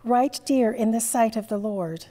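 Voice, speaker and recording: A woman in her sixties leading a church service as priest, reciting psalms and prayers in a measured, formal way. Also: a little high, crowd noise. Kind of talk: liturgical reading. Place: large church sanctuary with stained glass. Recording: long church reverb.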